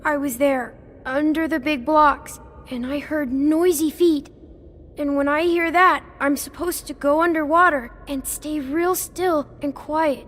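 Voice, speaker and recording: Frightened little boy, very high voice, slow and halting, stammering, game character, slight noise. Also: fairly even intonation, a bit bright. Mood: nervous. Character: timid, frightened young toad boy confessing. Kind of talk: video game voice acting.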